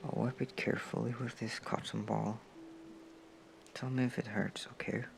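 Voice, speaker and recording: A man acting a caring, protective male comrade in a comfort roleplay, speaking softly and soothingly with unhurried pauses. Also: fairly low pitch, little pitch movement, vocal fry, background noise. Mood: gentle, careful. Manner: soft-spoken, hushed, soothing, with unhurried pauses. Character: caring male anarchist comrade, protective, gently scolding, tending a friend.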